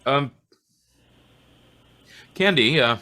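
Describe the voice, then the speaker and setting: A man playing a friendly, somewhat awkward homicide inspector in old-time radio style, with a hesitant, halting manner. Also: mid-range voice, lively intonation, sharp tone, full character voice. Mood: shy, halting. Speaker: actor in an old-time radio detective play. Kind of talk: 1940s radio acting.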